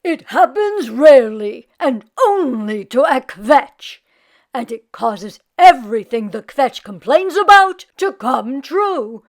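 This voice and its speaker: Woman voicing a slow, solemn old storybook rabbi. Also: high voice, very animated, full character voice. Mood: grave. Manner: slow, emphatic, drawn-out pronouncements. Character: old rabbi, kindly, solemn male adviser. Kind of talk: storybook character voice.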